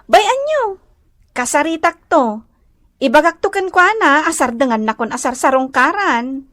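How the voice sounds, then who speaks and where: Very high-pitched girlish Ilocano daughter. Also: lively intonation, unhurried, fairly bright tone, no room sound, studio quality. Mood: coaxing, playful. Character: sweet, girlish young daughter, dutiful and playful. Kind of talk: comedic radio drama acting.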